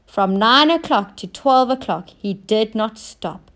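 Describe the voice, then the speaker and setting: A woman narrating a children's storybook in an expressive, deliberate way, with emphasis on key words. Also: a little high. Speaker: teacher reading stories aloud.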